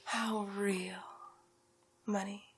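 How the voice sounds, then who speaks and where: A woman playing a haughty, condescending, luxury-obsessed villainess in an ASMR roleplay, with a drawling, theatrical delivery that shifts between breathy whisper and soft creaky speech. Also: medium pitch, level tone, drawn-out words. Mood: snobbish, haughty. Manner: drawling, theatrical, condescending, calls listener darling.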